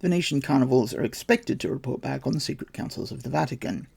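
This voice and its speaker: A man's voice: mid-range voice, quick pace, vocal fry, darkish tone, breathy edge. A man hosting a podcast, reading his research notes aloud with dry, wry asides. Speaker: podcast host.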